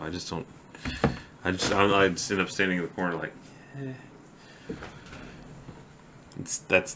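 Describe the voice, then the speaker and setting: Deep-voiced man chatting on a stream. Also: slight background noise. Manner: halting, trails off into quiet mumbles. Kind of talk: casual chat with stream viewers; slow, deliberate poetry reading.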